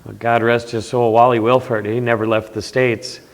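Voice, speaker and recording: An older veteran with a Norwegian-tinged accent, speaking earnestly with some hesitation. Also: male voice, medium pitch, level tone, normal speed. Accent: Norwegian-tinged Upper Midwest. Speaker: veteran panelist. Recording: reverberant community TV studio.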